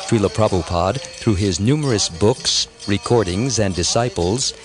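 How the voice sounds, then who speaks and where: A man reading a scripted audiobook narration in a measured, reverent, formal manner. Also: on the low side. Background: music.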